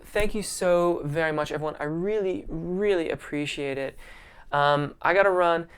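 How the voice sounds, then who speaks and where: A man's voice: on the high side, fairly bright tone, clear, resonant tone. A man, a vocal coach, hosting a casual live-stream Q&A, reading viewer comments and answering in a friendly, appreciative way. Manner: friendly and appreciative, many ums and restarts.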